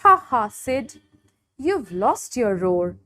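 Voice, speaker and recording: An emphatic, put-upon storybook dinosaur acted by a woman, pitched high. The sing-song delivery rises and falls vividly, ready to scold or tease. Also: big pitch swings, slow, a bit bright, heavily acted. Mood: mocking. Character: teased dinosaur friends, indignant then mocking. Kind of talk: children's storybook read-aloud.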